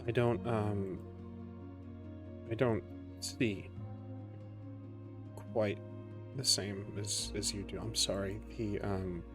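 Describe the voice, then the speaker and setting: A man voicing a lonely, uncertain number-station entity in a tabletop game, speaking in a halting, apologetic way. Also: fairly low pitch, steady tone, music in the background. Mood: hesitant, bewildered. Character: lonely, uncertain sentient radio number-station named Faye.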